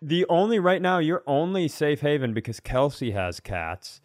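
A man's voice: on the high side, sing-song, moderate pace, no echo, clean studio sound. A man co-hosting a comedy podcast in casual conversation, rambling through wry anecdotes and speculation.

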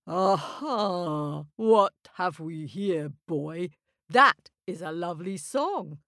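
A woman's voice: lowish voice, expressive, slow pace, fairly bright tone, no echo, clean studio sound, full character voice. A woman voicing a huge, tricky two-headed male giant who roars and bellows. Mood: booming, wheedling. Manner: roaring, bellowing.

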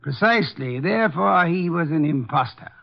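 An actor playing a pompous, brilliant older armchair detective in a 1950s radio drama, speaking with precise, erudite diction. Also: male voice, a little high, animated, moderate pace, creaky. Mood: lecturing, self-satisfied. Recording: muffled vintage 1950s radio recording.

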